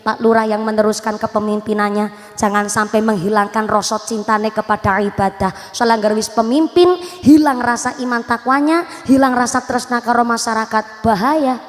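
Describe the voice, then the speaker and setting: A woman preacher with a Banyumas Javanese accent, giving a joking, lively sermon and teasing the crowd. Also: high-pitched, big-room sound. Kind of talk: lively comic Islamic sermon.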